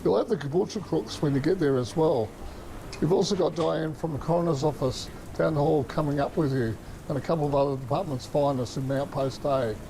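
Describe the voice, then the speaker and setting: An actor plays a blunt Australian office boss with a creaky, fairly high voice. He is matey and slangy, a bit sweary, in an audio drama with clear background noise. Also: a little fast, warm tone, lightly acted. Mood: blunt, pressing. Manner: colloquial, mildly sweary. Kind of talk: colloquial audio drama dialogue. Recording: noisy track with rain ambience.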